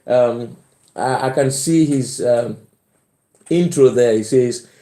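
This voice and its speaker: A man's voice: mid-range voice, slow, dark tone. A middle-aged man with a Cameroonian accent, casually hosting a live chat and repeating phrases for emphasis. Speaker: live stream host. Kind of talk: casual live chat, reading out and answering comments. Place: bedroom at home. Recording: roomy indoor echo.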